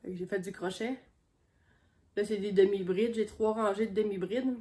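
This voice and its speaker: A woman's voice: medium pitch, fairly even intonation, moderate pace, warm tone. A woman with a Québécois accent, chatting casually about her knitting while she has a cold, in a homespun, meandering way. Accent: Québécois French. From Quebec. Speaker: knitting vlogger on a sheep farm. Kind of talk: casual show-and-tell chat.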